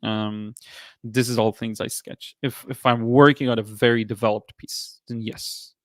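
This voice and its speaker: A man in his thirties with an Israeli accent, hosting a painting livestream and answering viewer comments in a relaxed, halting way with many fillers. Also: medium pitch, dry recording. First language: Hebrew. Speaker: watercolor artist and livestream host. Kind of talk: casual livestream chat reading comments.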